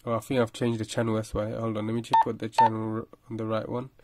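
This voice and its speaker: A man's voice: lowish voice, little pitch movement, fast, heavy background noise. A man giving a casual product review in a plain-spoken, slightly hesitant explaining manner.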